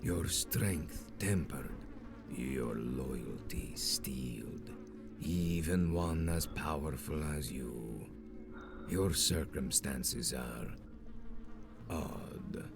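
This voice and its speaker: Very deep, menacing vampire zealot. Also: male voice, drawn-out words, gravelly, noisy background, full character voice. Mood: stern. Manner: grandiose, solemn, archaic formal oratory. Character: menacing, zealous male vampire sect leader, ancient and commanding. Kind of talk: dramatic video game villain acting.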